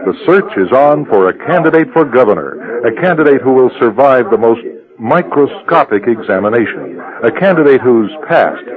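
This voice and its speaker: A man's voice: mid-range voice, animated, moderate pace, a crowd in the background. A man reading scripted narration as the announcer of a radio drama, on a dull old radio recording. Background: music.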